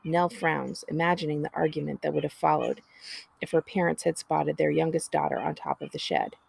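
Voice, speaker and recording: A woman reading a children's story aloud in an expressive narrating style. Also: deep voice, fairly quick, vocal fry, husky, dry recording, rough recording. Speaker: librarian reading a book aloud.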